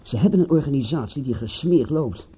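A detective's calm confidant in a radio play. His voice is very dark and mellow with a husky grain, moving expressively while staying soothing and matter-of-fact. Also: medium pitch, a little fast. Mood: pondering. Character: calm, reassuring male friend of a private detective. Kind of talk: Dutch radio play acting.

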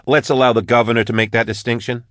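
A tough female marshal who pushes back hard, as a man voices her. Her voice sits above his own and is loud and forceful. The recording is dry and close. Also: medium pitch, flat delivery, fast talker, no room sound, pitched above the performer's own voice, natural voice. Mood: defiant. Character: female marshal, blunt and defiant.

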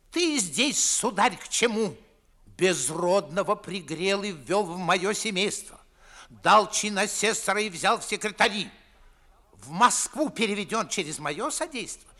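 A man's voice: sits high, sing-song, takes it slowly, sharp tone, heavily acted. An older man playing a pompous, grumbling widowed father and official in a 1950s theatrical radio play, scolding in declamatory verse. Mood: angry scolding.

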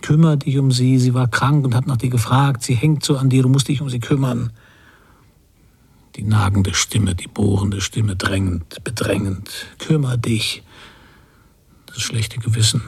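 Husky, brooding bitter father. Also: medium pitch, steady tone, a little slow. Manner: terse, fragmented inner monologue. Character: bitter, guilt-ridden emigrant father, brooding and irritable. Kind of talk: German radio drama interior narration.

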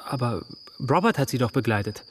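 Man playing sheepish young fellow, mid pitch, boyish, evasive, radio drama, faint crickets. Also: expressive, fairly quick, slight background noise, lightly acted. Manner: hesitant, evasive.

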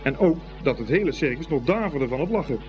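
A man's voice: fairly high pitch, expressive, a little fast, darkish tone, lo-fi sound, soft background music. A man reading scripted storybook narration as the narrator of a radio play.

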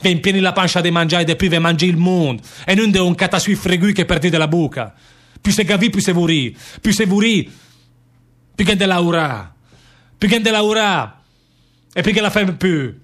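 An actor playing a bitter, weary condemned anarchist emigrant worker with a northern Italian Lombard accent, declaiming in dialect in a radio drama. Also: male voice, high-pitched, moderate pace, fairly bright tone. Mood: bitter, accusing. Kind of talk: stage-style radio drama monologue in dialect.

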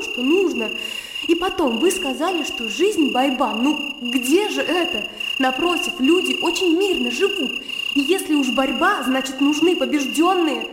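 High-voiced naive young gentlewoman. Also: heavy background noise. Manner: artless, lively, argumentative. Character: naive, self-assured young country gentlewoman, playful and stubborn. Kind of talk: radio play dialogue. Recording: echoey reverberant sound with loud music.

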